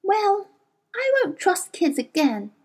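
A woman's voice: very high voice, very animated, slow pace, pitched above the performer's own voice, heavily acted. A woman reading an audiobook, voicing a spirited, stubborn girl of about twelve who talks hotly and defiantly. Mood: earnest. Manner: hot-tempered, defiant, rough-spoken.

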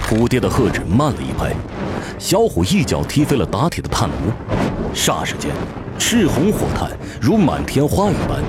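A man narrating a fantasy audio drama from a script in a dramatic storytelling style. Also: medium pitch. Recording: prominent music behind the voice.

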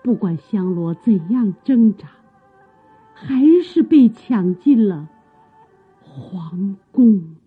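A woman narrates a radio drama slowly and dramatically, her voice very husky and breathy. The sound is thin and phone-like, with music behind her. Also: medium pitch, expressive. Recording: dull, phone-like old radio recording.

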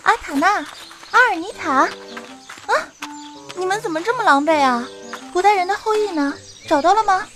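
A woman's voice: very high-pitched, lively intonation, normal speed, long pauses, over music. A woman playing an earnest young female adventurer who bosses her companion in an audio drama. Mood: concerned. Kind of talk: Chinese audio drama acting.

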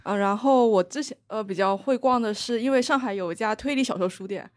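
A woman guest in a casual podcast chat, speaking in a soft, measured way with hesitant fillers. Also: a little high, no room sound.

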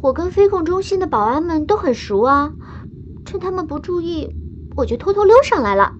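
A woman's voice: extremely high pitch, sing-song, fairly quick. A woman playing a naive, affectionate young girl stowaway in a radio drama, childlike and coaxing, in slightly muffled audio. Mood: earnest. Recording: dull muffled audio with faint noise.

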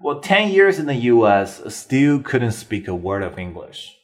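A young man from China doing a bilingual stand-up comedy lesson as host, animated and leaping high in pitch on exclamations. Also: unhurried. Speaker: English-teaching comic host.

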